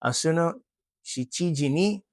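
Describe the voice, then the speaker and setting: A woman's voice: low voice, expressive, slow pace, a little mellow, dry recording, clean studio sound, heavily acted. A woman playing a polite learner making phone calls in a lesson role play, using short, simple phrases.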